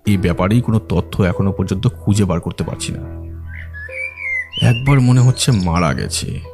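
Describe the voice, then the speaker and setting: Man acting a low-voiced analytical detective. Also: sing-song. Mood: troubled, brooding. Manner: deliberate, explanatory. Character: methodical male detective reasoning through a case, earnest, analytical. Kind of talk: Bengali audio detective drama acting. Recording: music behind the voice.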